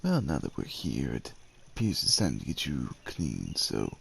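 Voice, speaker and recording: A man playing a devoted, gentle werewolf suitor in an ASMR roleplay, speaking with hesitant tenderness and courtly phrasing in a gravelly, husky voice. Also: a bass voice, huge pitch swings, measured pace, full character voice. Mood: tender, then gentle, reassuring. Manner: hesitant, tender, old-fashioned courtly phrasing with tag questions. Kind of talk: ASMR roleplay, acted monologue addressed to the listener.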